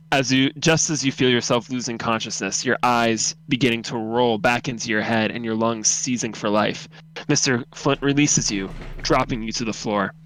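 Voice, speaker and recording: A man's voice: mid-range voice, fairly even intonation, normal speed, a bit bright, poor audio. A man reading a horror story aloud as narrator, dramatic, intense and suspenseful.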